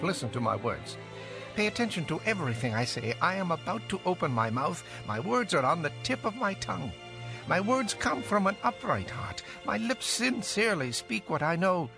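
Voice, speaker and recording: A high, swooping voice of an indignant young man, emphatic and oratorical, as in a Bible audio drama. He lectures his elders with fervor over music. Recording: music behind.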